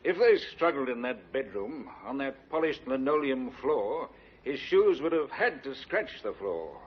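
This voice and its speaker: A man's voice: medium pitch, very animated, unhurried, husky. An actor playing a dutiful, matter-of-fact male police detective in a 1950s radio drama, giving reports. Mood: dutiful reporting. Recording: muffled old radio recording.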